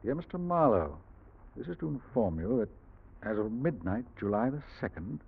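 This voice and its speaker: A suave, insinuating English schemer in a vintage radio drama. The voice is husky and mid-pitched, with theatrical, highly varied intonation. The recording is old and muffled. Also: male voice, big pitch swings, moderate pace, full character voice. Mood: uneasy.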